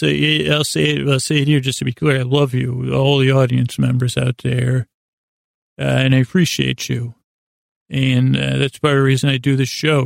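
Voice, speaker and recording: A man hosting a bedtime podcast in a gravelly, creaky voice, rambling in a halting, meandering way that often circles back on itself. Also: medium pitch, no echo, studio quality. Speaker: host of a bedtime sleep podcast. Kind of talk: rambling, unscripted bedtime monologue.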